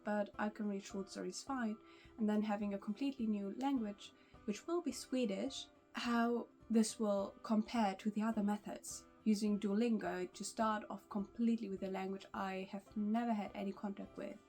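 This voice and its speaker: A woman's voice: mid-range voice, fairly even intonation, normal speed, darkish tone, faint music behind. A young woman whose first language is German, talking casually to camera in English about learning languages, with frequent restarts and small laughs. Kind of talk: casual vlog talk to camera.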